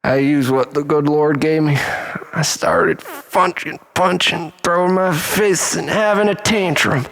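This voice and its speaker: A man performing a theatrical first-person monologue as a storyteller, melodramatic, swinging between exclamation and breathy hush. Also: fairly high pitch, measured pace.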